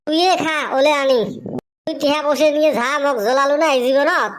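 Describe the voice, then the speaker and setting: Woman acting a village youngster, high voice, cheeky, complaining, comic cartoon dialogue. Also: steady tone, brisk, slight background noise, natural voice. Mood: sarcastic. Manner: complaining, back-talking.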